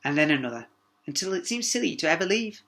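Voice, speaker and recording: A man's voice: fairly high pitch, sing-song, fast, sharp tone. A man narrating a children's story expressively as a teacher, with small asides to young listeners.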